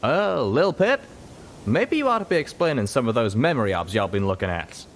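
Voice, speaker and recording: A man's voice: medium pitch, sing-song, fairly quick, a little hiss or hum, voice pushed up. A man voicing a folksy male cowboy pegasus with a drawl in a story reading. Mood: coaxing.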